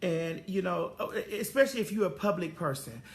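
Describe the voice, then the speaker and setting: A woman's voice: fairly low pitch, animated, normal speed. A woman in her forties hosting a casual talk show, profane and preachy, drawling out her stories. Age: 40s. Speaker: host.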